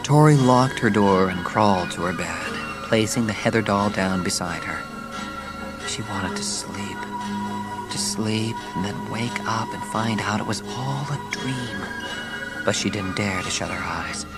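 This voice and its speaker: A man's voice: lowish voice, unhurried. A man reading scripted, dramatic narration for a children's audiobook. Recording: music behind the narration.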